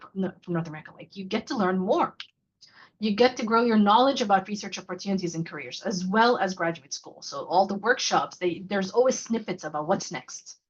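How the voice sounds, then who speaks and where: A woman's voice: mid-range voice, breathy edge. A woman walks listeners through a course in an online workshop. She talks in a chatty, loose way, with fillers and restarts. Her video call audio sounds a bit dull. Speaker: faculty mentor and local coordinator. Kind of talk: presenting a virtual workshop.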